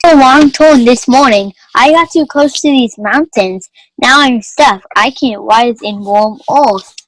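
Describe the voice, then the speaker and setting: Woman playing a slow, high-voiced kindly sun. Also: no room sound, natural voice, low-quality recording. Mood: troubled. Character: kindly, grateful sun, old friend in the sky. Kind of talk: readers theater for children.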